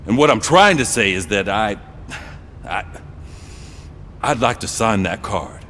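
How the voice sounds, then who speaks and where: A man's voice: fairly low pitch, lively intonation, unhurried, pushed and bright. A man playing a stern but caring police sergeant in a video game, earnest and plain-spoken. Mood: earnest, gruff resolve. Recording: long reverb of a garage, noisy vehicle ambience.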